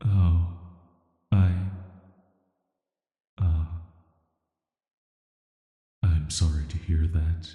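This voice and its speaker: A man playing an ancient banished villain, sealed away and softly menacing, speaking in a flat, hesitant, measured way for an atmospheric sleep-aid audio roleplay. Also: sits low, muffled recording. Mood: resigned, guarded. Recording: roomy echo with long reverb.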